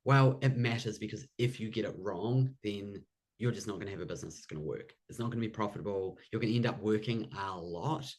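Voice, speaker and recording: Low-pitched New Zealand man presenting. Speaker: host, business coach. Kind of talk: live online workshop presentation.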